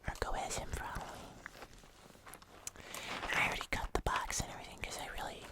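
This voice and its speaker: An ASMR host speaking in a breathy, unvoiced whisper, chatting casually as if reviewing food for viewers. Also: fairly quick.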